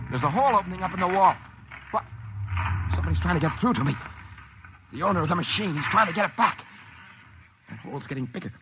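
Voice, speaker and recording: An American actor playing an excitable, work-shy schemer who stammers when flustered, in mid-century radio drama style. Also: male voice, high-pitched, background noise. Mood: panicked. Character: work-shy scheming dreamer who finds a wishing machine. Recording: vintage radio recording with faint music.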